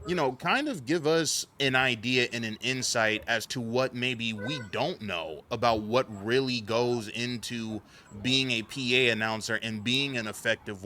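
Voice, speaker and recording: A man's voice: fairly low pitch, pushed and bright, a little hiss or hum. A man hosting a conversational interview, asking long, wordy questions. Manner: wordy questions, frequent affirmations. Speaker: interviewer, podcast host.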